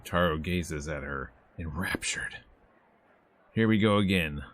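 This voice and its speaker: Deep-voiced man narrating a game, wryly. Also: a little slow, a bit bright, dry recording. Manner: wry, informal asides. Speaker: gaming streamer. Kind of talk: casual visual novel playthrough, narrating and commenting.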